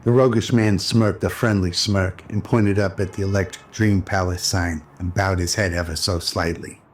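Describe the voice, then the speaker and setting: A man's voice: deep voice, steady tone, normal speed, a little hiss or hum. A man narrating a scripted radio drama as storyteller.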